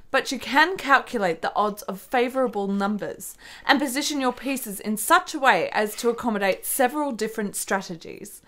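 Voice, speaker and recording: A shrewd, dignified gentlewoman of a period radio play. Her voice sits fairly high. She phrases everything formally and articulately.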